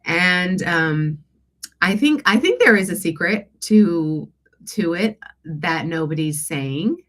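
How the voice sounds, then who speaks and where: A woman hosting a casual live coaching Q&A, congested from allergies, talking conversationally with hesitant pauses and check-ins with her listeners. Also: lowish voice, lively intonation, fairly bright tone. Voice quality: stuffy, congested nose. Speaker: host and life and business coach.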